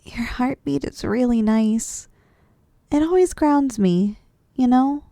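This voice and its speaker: A woman playing an affectionate, drowsy, playful wife in an intimate ASMR roleplay, speaking softly and teasingly. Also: on the high side, slow pace, no room sound, studio quality. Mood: tender, teasing. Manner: soft, affectionate, teasing, with sighs and giggles. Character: affectionate, drowsy, playful wife cuddling after a big dinner. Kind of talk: ASMR roleplay, intimate one-sided dialogue.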